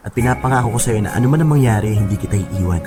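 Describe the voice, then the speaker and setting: An actor plays a devoted young fiancé in a romantic radio drama, sweet-talking and earnest. His voice is on the low side, with music clearly underneath. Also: very fast, lightly acted. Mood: tender, devoted. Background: music bed.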